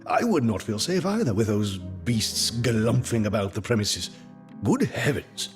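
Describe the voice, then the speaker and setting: An actor playing a kindly, blustery gentleman doctor in an audio drama, with avuncular, old-fashioned speech. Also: lowish voice, very animated, a little slow, faint music behind. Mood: sympathetic, aghast.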